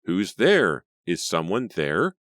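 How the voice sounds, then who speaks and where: Man doing angry scared young woman, sharp snappy lines, dry close sound. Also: on the low side, sing-song, unhurried, no room sound, voice pushed up. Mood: fearful, angry.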